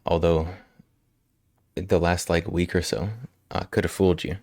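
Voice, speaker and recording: A male livestream host chatting casually with viewers, slightly hoarse from a cold, relaxed and quick to laugh. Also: deep voice, dry recording, studio quality. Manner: relaxed, laughs often, answers chat. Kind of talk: casual chat with live chat. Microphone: studio microphone.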